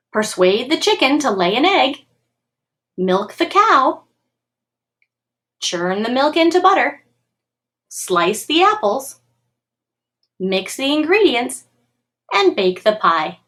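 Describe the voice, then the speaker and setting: A woman reads a children's picture book aloud in the manner of a school storytime. Her voice sits a little high and moves in animated swings of pitch. She tells it expressively, with clear pacing meant for young listeners. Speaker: school computer tech reading a storybook aloud to pupils.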